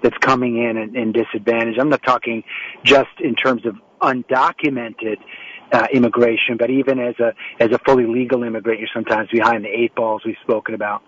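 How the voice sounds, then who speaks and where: A man's voice: medium pitch, level tone, brisk, a bit bright, muffled recording. A man hosting an interview show over a remote setup, rambling a little with frequent restarts and fillers as he introduces guests and asks questions. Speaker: talk show host. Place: home setup in New York. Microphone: remote call setup.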